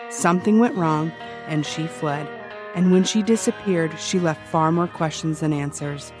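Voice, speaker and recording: A woman's voice: on the low side, lightly acted. A woman playing an obsessive, defensive ex-cop who hosts a true-crime podcast, telling it conversationally with wry emphasis. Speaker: true-crime podcast host, former police officer. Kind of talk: conversational scripted narration in an audio drama. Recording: music behind the voice. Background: music.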